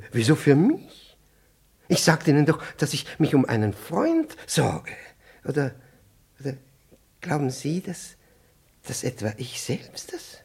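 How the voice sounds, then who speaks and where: An actor playing a pompous, scatterbrained, middle-aged senior ministry official in a radio play, stammering and losing his thread, with a husky, breathy voice. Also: on the high side, wildly swooping pitch, slow pace, long pauses, darkish tone, exaggerated voice. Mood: flustered, forgetful. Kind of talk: 1960s German radio play acting.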